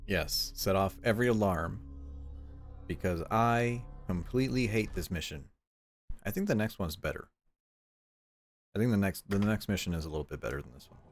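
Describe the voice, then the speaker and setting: A man's voice: fairly low pitch, normal speed, long pauses, soft background music. A man from Mexico with a Mexican accent, giving casual, sarcastic and profane commentary while streaming a game. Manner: sarcastic, profane, self-mocking.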